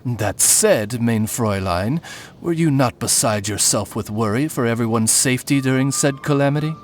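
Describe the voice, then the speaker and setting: A man's voice: medium pitch, normal speed, slight background noise, close to an everyday voice. A man voicing a loyal raven familiar in a video game, formal and deferential with a dryly wry manner. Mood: earnest, deferential. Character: courtly, dryly witty male raven familiar, loyal servant. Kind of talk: video game character voice lines.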